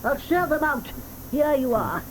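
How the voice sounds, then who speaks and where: A bossy, grabby old grandmother in an audio drama, her high voice lively and bouncing. She starts lines with "uh" and then insists on getting her way. A faint hiss hangs behind her. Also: unhurried, rough recording. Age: older. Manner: bossy, hesitant with "uh"s.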